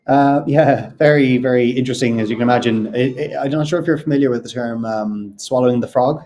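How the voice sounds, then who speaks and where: Thoughtful Irish man, mellow voice. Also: mid-range voice, slight background noise. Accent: neutral Irish. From Ireland. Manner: thoughtful, measured, circling back to rephrase. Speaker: film director guest. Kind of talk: reflective interview answers.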